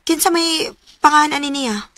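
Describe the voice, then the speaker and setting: A woman's voice: sits high, normal speed, lightly acted. A woman with a Cebuano accent playing a giggly, hesitant young single woman in a radio romance drama. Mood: playful, wary. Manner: teasing, exclamatory, giggly.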